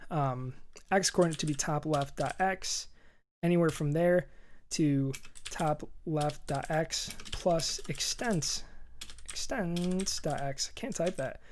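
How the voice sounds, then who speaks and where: A man with a hoarse, scratchy voice, casually walking through a programming tutorial as he works and thinks aloud. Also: a little high, a little mellow. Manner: chatty and upbeat, thinking aloud while typing. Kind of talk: screen-recorded coding walkthrough. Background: keyboard typing.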